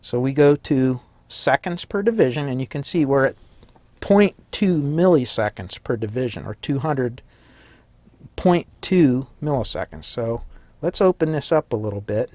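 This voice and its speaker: Slightly hoarse man explaining a circuit. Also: medium pitch, lively intonation, moderate pace, mellow, no room sound. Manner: thinks aloud, corrects himself, fillers. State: slightly hoarse, losing his voice. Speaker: electronics hobbyist demonstrating a kit. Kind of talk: casual hands-on tutorial.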